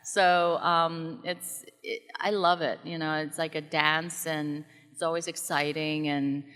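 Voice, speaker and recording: A middle-aged woman with a General American accent talks as a guest at a live event, animated and quick with asides. Her voice sits low yet sounds bright and forceful. A long reverb from the hall trails each phrase. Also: smooth. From came to the US as a child. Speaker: guest actress on stage. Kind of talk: live stage interview.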